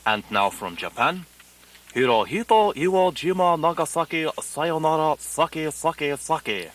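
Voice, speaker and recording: A man playing an earnest language teacher in a radio parody, enunciating deliberately in mock foreign phrases. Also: on the high side, expressive, a bit bright. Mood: instructive. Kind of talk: parody of a broadcast language lesson.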